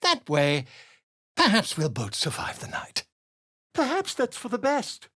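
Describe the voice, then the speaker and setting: A man's voice: high-pitched, wildly swooping pitch, a little slow, sharp tone, husky, exaggerated voice. An actor playing a flamboyant, chatty male bard in a video game, theatrical and self-important in his flourishes. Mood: grandiose. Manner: theatrical, grandiloquent, toast-giving flourish.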